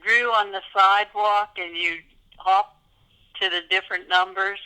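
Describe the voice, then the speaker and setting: An older woman from Kenya is interviewed about her childhood. Her voice is bright and very crisp at a middle pitch. She speaks gently and thoughtfully, with small hesitations. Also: fairly even intonation, unhurried, rough recording. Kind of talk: casual reminiscing.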